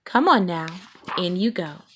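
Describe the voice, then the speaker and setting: A woman voicing a sensible, motherly mama bear in a children's storybook, firm and gently reproving. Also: fairly low pitch, expressive, unhurried, a little hiss or hum. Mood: gentle.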